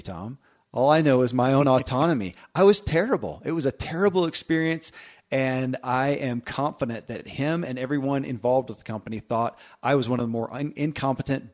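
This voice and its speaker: A male podcast host talking in a loose, conversational way. He stumbles and restarts often and leans on fillers as he thinks aloud. His voice sits at an ordinary middle pitch. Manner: talkative, many fillers and restarts. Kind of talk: casual chat reading listener stories.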